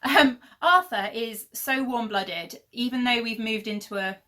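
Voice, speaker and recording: A woman's voice: a little high, measured pace, bright, forceful tone. A woman in her thirties, hosting a casual knitting podcast from home, chatty and rambling with lots of fillers, her voice a little worn. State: losing her voice. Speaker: podcast host. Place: at home, indoors.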